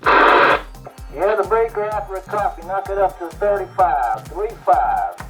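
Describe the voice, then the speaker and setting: High-pitched man on CB radio. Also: expressive, a little slow. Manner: slangy, joking. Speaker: CB radio operator. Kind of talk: CB radio banter. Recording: CB radio transmission, music behind.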